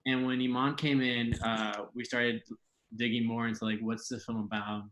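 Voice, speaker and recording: A man's voice: mid-range voice, little pitch movement, normal speed, a bit bright, slight background noise, low-quality recording. A young man, a Mexican American writer and actor from Texas, telling a story in an easygoing, hesitant way with many fillers. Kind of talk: casual interview.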